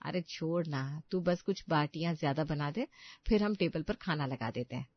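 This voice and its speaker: A woman voicing a gentle, cautious young daughter-in-law with a caring manner in a cartoon story. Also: low-pitched, moderate pace, no room sound, lo-fi sound. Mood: affectionate. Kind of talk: cartoon moral story voice acting.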